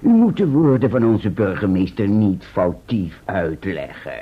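An actor playing a measured, diplomatic mayor's aide in a radio play. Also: male voice, on the low side, big pitch swings, husky, full character voice. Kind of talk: old Dutch radio play acting. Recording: muffled old radio recording.

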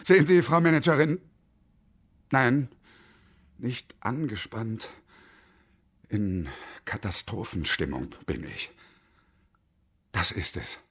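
A man's voice: medium pitch, slow, pauses often, a bit bright, husky, heavily acted. An actor playing a desperate, sarcastic unemployed engineer in his fifties in a radio drama, biting and bitterly ironic. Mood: contrite, despairing. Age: 50s.

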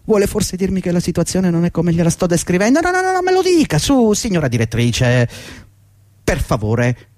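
A man's voice: high voice, wildly swooping pitch, normal speed, darkish tone, no echo. An actor playing a middle-aged, servile and agitated long-time employee pleading with his boss in a fretful, rambling radio drama performance. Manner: fretful, deferential, rambling and insistent. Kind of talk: theatrical radio drama acting.

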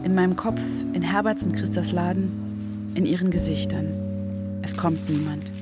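A woman's voice: lowish voice, fairly even intonation, normal speed. A woman working as a reporter, narrating a documentary and talking with people she meets. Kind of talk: documentary narration and street conversation. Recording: loud music behind the voice. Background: prominent music.

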